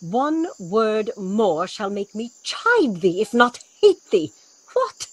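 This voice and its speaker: Woman doing a bossy stern sorceress mom, shakespeare radio play, slow and really swoopy pitch. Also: sing-song, breathy edge, dry recording, exaggerated voice. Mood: stern, scolding. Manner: theatrical, commanding, sharp rebukes. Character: imperious, stern sorceress mother, commanding and scheming. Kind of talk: Shakespearean audio drama acting.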